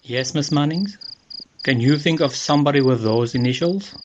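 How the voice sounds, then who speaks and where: A man playing a calm, formal police inspector in an amateur radio murder mystery, speaking in a measured, deliberate way. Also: fairly high pitch, lightly acted. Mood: probing, formal. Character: calm, methodical male police inspector questioning murder suspects. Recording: noisy amateur voice-message recording with clicks.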